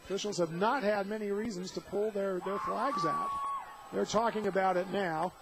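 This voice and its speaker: A man's voice: sits high, steady tone, normal speed, a crowd in the background. An American man calling live play-by-play of a football game for radio. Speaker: play-by-play commentator. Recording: noisy outdoor stadium radio broadcast.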